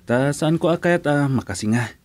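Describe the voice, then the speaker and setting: A man's voice: a little high, animated, normal speed, natural voice. A man acting a mild-mannered, courteous friend in an Ilocano radio drama. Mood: friendly, uneasy.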